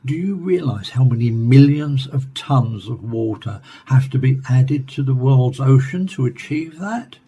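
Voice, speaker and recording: An actor playing an earnest male scientist in a radio play, explaining emphatically in a husky, mellow voice. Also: mid-range voice, lively intonation, a little slow, few pauses. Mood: grave, emphatic. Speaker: scientist interviewee.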